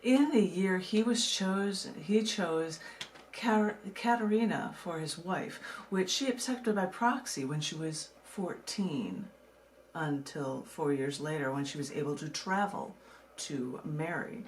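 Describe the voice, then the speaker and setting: A woman poet from the United States reading her own work and talking casually about it, in a measured way with dramatic pauses. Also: fairly low pitch, slight background noise. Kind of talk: poetry reading with casual asides.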